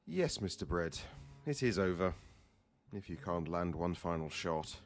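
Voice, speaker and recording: A man's voice: low voice, lively intonation, a little slow, faint music behind. A man playing a stern, dignified prosecutor in an audio drama, formal and firm in his speech. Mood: resolute. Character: stern, dignified male prosecutor, dryly principled. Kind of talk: amateur audio drama acting.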